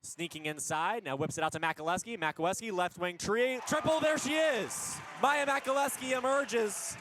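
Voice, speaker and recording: A man doing energetic live radio basketball play-by-play in punchy short phrases, rising on big plays. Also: sits high, moderate pace, background noise. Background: basketball arena ambience.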